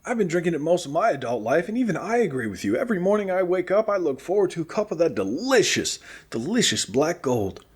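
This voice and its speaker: A man's voice: high-pitched, sing-song, fast talker, hardly any pauses, a little mellow, husky, full character voice. A man playing a cheerful post-apocalyptic radio host in a comedic audio drama, earnest and encouraging. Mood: enthusiastic agreement. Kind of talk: scripted comedic radio show hosting.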